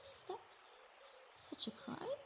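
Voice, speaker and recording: A woman's voice: takes it slowly, noisy background, natural voice. A woman voicing a sickly, motherly Earth goddess in an intimate roleplay monologue, hesitant and emotional, dipping toward whispers. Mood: wounded anger. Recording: nature ambience with birdsong behind voice.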